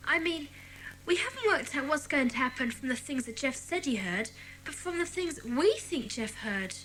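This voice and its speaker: A woman's voice: sits high, very animated, normal speed, a bit bright, low-quality recording. A female performer playing a thoughtful, questioning young schoolgirl in a children's audio drama. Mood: doubtful.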